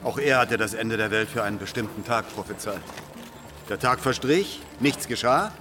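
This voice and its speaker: Ein Schauspieler spielt in einem Hörspiel einen nüchternen, skeptischen Mitverschwörer, der bedächtig und sachlich spricht. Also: male voice, fairly low pitch, normal speed, over music, close to an everyday voice. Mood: sober, sceptical. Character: sober, sceptical fellow conspirator weighing the odds. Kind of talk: radio drama acting.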